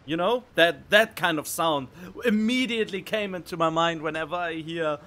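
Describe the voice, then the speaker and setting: A man giving casual let's play commentary as a game streamer, halting and repeating words as he thinks aloud. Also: on the high side, bright, forceful tone.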